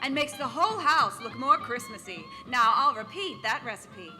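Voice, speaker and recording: A woman's voice: sits high, sing-song, moderate pace, pushed and bright, faint music behind, exaggerated voice. An actress playing a perky spokeswoman reading a 1940s radio commercial. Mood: instructive, cheery. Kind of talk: 1940s radio ad read, scripted.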